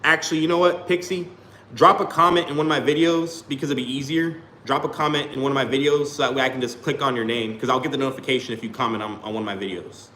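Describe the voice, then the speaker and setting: A man's voice: medium pitch, fairly even intonation, fast talker, pushed and bright. A man rambling casually to his livestream chat, leaning on "you know what I'm saying" and "you feel me.". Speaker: small YouTuber live-streaming. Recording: roomy echo, faint background hum.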